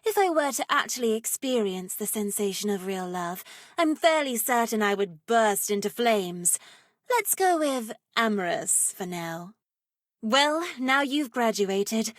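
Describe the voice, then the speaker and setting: Sultry, higher-pitched witch teacher. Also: animated, measured pace, no room sound, studio quality. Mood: flirtatious, wistful. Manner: sultry, arch, teasing, mock-formal. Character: flirtatious, macabre witch teacher with a playful dark streak. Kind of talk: theatrical video game character voice acting.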